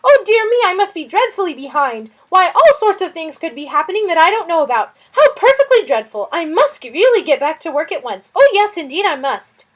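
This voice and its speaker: A woman's voice: very high-pitched, very animated, a little fast, hardly any pauses, pitched above the performer's own voice. A woman voicing a fussy, flustered little male lizard who dithers and frets in an audiobook. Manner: twittering, easily fretting, dithering. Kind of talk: audiobook character voice.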